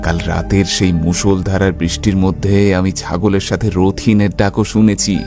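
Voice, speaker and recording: A man's voice: low voice, level tone, normal speed, music in the background. A man playing an uneasy, exasperated village tradesman in a cartoon, earnest and grumbling. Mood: exasperated, insistent. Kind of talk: cartoon voice acting.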